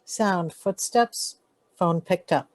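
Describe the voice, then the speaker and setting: Very slow woman reading effect cues. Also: fairly low pitch. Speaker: sound effects reader. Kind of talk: flat cue reading in radio play. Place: live online video call.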